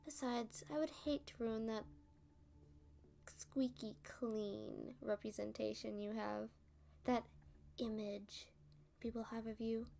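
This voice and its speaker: A woman playing a playful, smug female supervillain in an ASMR roleplay, teasing and taunting with drawn-out phrasing. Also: fairly high pitch, fairly even intonation, vocal fry, mellow.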